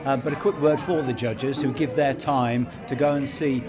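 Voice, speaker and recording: A man's voice: medium pitch, fairly even intonation, normal speed, music in the background. A man hosting a podcast and interviewing a guest on location at an awards event. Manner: upbeat, chatty presenter. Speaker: podcast host.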